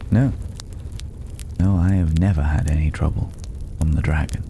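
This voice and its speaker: A man voicing a mysterious, cultured recluse in a fantasy ASMR roleplay, speaking in a measured, philosophical way with breathy, whispered edges. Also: very deep voice. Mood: intrigued, inviting. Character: mysterious, cultured, solitary male stranger living among dragon's hoard. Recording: clear steady background noise.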